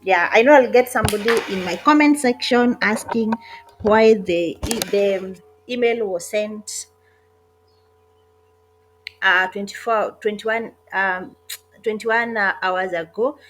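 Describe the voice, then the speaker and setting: A woman narrating an online job application walkthrough in a casual, hesitant way, with frequent pauses and self-corrections. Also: medium pitch, poor audio. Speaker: online job tutorial creator. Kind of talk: casual screen-recorded walkthrough.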